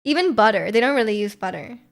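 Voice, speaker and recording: Young woman streamer rambling at chat, a bit high-pitched, lots of likes and ums. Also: expressive, fast, vocal fry. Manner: rambling, many fillers and restarts, frequent laughter. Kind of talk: casual chat reading viewer comments.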